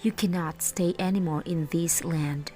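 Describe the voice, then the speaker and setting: Casting: female reader as solemn divine male authority for a Bible storybook, stern yet protective, unhurried. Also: on the low side, steady tone, darkish tone, soft background music, natural voice. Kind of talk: children's Bible storybook read-aloud.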